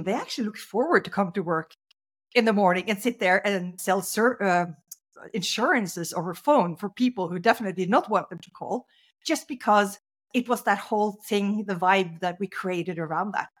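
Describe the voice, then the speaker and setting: A middle-aged woman speaks as a guest in an interview and tells her story in a conversational way. Her voice sits at an ordinary middle pitch for a woman. She lifts it sharply on the words she wants to stress. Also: animated, moderate pace. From Norway.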